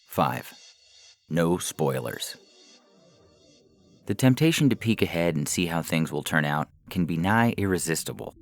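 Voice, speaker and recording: Very deep, gravelly male story reader. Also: big pitch swings, measured pace, long pauses. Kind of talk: literary short story narration. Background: faint background music.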